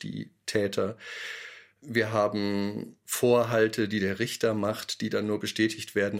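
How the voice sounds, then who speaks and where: Low creaky man, reflective interview talk. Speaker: radio play maker giving a statement.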